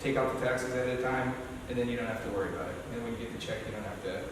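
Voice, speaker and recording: A man's voice: medium pitch, steady tone, very fast. A man on a panel giving straightforward, practical advice. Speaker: panelist, streamer. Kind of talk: panel discussion. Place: library event room. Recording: echoey hall, mic far off, noisy.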